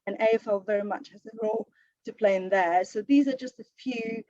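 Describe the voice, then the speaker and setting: A woman's voice: mid-range voice, a little fast, a little mellow, no echo, dull audio. A woman author with a slight sore throat, answering an audience question at a live online panel in a thoughtful way, with many fillers and restarts.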